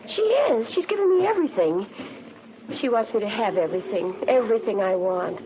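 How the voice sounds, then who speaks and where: A woman's voice: fairly high pitch, lively intonation, brisk, noisy background, natural voice. An actress playing a nervous, needy murder suspect in her late thirties in a 1950s radio drama, rambling and repeating herself pleadingly. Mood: anxious, defensive. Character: nervous, needy 38-year-old woman murder suspect, unraveling. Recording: muffled vintage radio broadcast recording.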